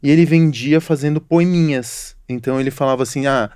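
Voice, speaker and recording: A man's voice: medium pitch, brisk, darkish tone, smooth, dry recording, clean studio sound. A middle-aged man hosting a casual interview podcast, telling stories in a reflective, philosophical way. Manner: reflective, storytelling, apologetic asides. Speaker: podcast host.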